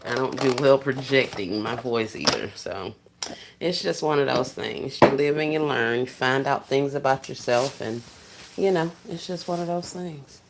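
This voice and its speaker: A soft-spoken woman, chatting casually with viewers on a livestream while she works on gift baskets. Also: very deep voice, normal speed, noisy background. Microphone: phone set down while working.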